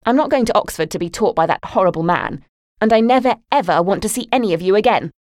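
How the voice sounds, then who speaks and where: A woman's voice: medium pitch, sing-song, moderate pace, vocal fry, dry recording, clean studio sound, voice pushed up. A woman voicing a furious young woman storming out of home, defiant. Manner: defiant and heated.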